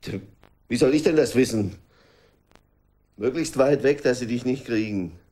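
A man's voice: medium pitch, unhurried, mellow, lightly acted. Guy doing a blunt grumbly boss, plain voice, radio play. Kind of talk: radio drama acting.

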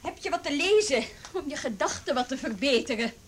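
A woman's voice: high voice, animated, brisk, a little hiss or hum. A woman playing a firm, dry policewoman questioning someone in a radio play. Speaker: police officer. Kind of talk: Dutch radio play acting.

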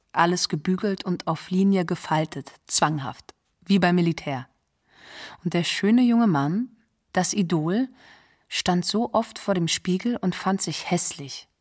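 An actress playing an old friend who sadly and tenderly reminisces in an intimate, plain radio monologue. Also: female voice, lowish voice. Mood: wistful. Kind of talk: radio documentary drama monologue.

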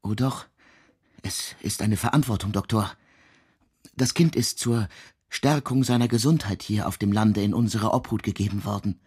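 An actor playing a brooding, anxious and reserved man in a radio drama, speaking in formal, measured phrases. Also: lowish voice, darkish tone, slightly rough. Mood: alarmed, uneasy. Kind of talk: German radio drama acting.

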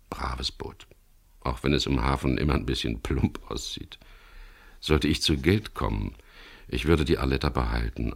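Dry, commanding skipper-narrator, very low creaky voice, 1970s radio crime play. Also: male voice, a bit bright, exaggerated voice. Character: wry, self-assured male boat skipper, crime-story narrator. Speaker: lead actor and first-person narrator. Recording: noisy old radio drama recording.

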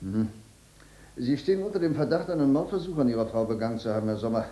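Male actor as evasive judicial bureaucrat, soft dark tone, patronising delivery, roomy echo, vintage German radio drama. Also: mid-range voice, animated, fast talker, close to an everyday voice. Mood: patronising, official. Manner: hesitant, patronising, official. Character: bureaucratic male court director, evasive and condescending. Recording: echoey room in old radio production.

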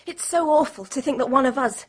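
A woman's voice: high voice, brisk, natural voice. An actress playing a timid, innocent-seeming young English woman in a radio drama, speaking in a girlish, hesitant, earnest way. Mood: nervous. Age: young adult.

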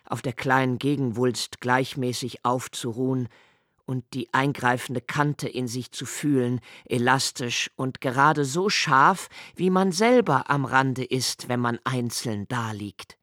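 A man's voice: medium pitch, a bit bright, no echo, natural voice. Man acting a brooding, precise solitary lodger, dry close sound. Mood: earnest, fixated. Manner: deliberate, precise, halting self-corrections. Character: brooding, obsessively observant solitary male lodger, musing alone. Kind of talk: literary radio play monologue in German.

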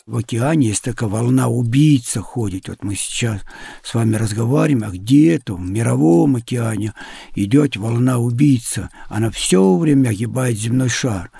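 A man, likely middle-aged, with a husky, gravelly voice tells stories conversationally to children in simple, loosely phrased explanations. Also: medium pitch, animated, moderate pace, dark tone, no echo. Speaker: sailor and traveller guest.

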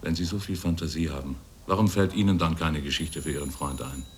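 An actor in a radio drama playing a sceptical police investigator, dry, probing and blunt. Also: male voice, rumbling low, fairly quick, a little mellow, a little hiss or hum. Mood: blunt, sardonic. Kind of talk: German radio drama acting.

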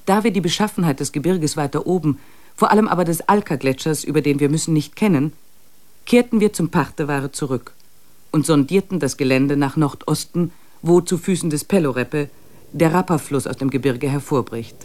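A male actor as a reflective diarist on a mountain expedition in a literary radio play. His voice is somewhat high for a man, and he narrates the journal in measured, slightly uneasy tones. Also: a little mellow, close to an everyday voice. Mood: sober reporting.

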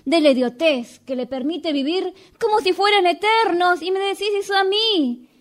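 A woman's voice: very high voice, normal speed. A woman acting in radio theatre as a frantic, pleading young woman with a Rioplatense Argentine accent, insistent and repeating herself. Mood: accusing. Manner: insistent, repetitive, urging. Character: frantic, pleading young woman dragging her friend into trouble. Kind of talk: radio theatre workshop fiction.

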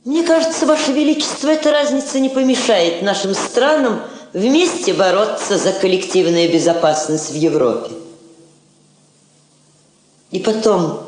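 A woman's voice: medium pitch, expressive, darkish tone, slight background noise, lightly acted. An actress playing a dignified, self-possessed woman ambassador in a classic radio drama, formal and courteous. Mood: poised, diplomatic. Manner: formal, measured, courteous, poised. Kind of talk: classic Russian stage radio drama acting. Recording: very echoey old theatre hall recording.

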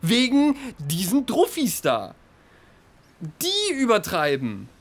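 An irritable, animated theater actor. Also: male voice, high voice, slow, heavily acted. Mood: exasperated, hurt. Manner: agitated, defensive, colloquial. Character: irritable, self-pitying theater actor, a man in his thirties. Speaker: actor in an audio drama. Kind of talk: naturalistic audio drama dialogue.

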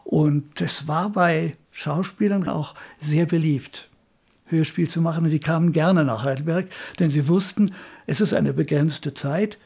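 Creaky, husky older man reminiscing. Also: on the high side, unhurried, a little mellow. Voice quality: raspy, creaky. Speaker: radio drama director. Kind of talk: reminiscing in an interview.

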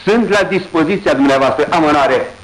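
A man's voice: fairly high pitch, background noise, natural voice. An actor playing a courteous, somewhat puzzled man who is selling a statuette, in a radio drama. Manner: polite and slightly bewildered. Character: courteous male provincial seller, puzzled but obliging. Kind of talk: 1980s radio drama acting.

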